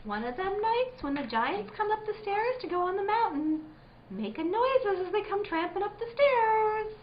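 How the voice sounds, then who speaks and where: A woman's voice: very high-pitched, sing-song, moderate pace. A woman playing a childlike, superstitious and easily upset mystical sister from the rural mountains in an old-time radio drama. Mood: awed, insistent. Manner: eerie, naive, rustic speech. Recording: muffled, faintly noisy live recording.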